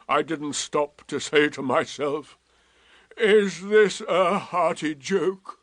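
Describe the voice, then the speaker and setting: A man voicing Eeyore, a gloomy old grey donkey who talks in a slow, sarcastic drawl in a storybook reading. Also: high-pitched, no echo, voice pushed up.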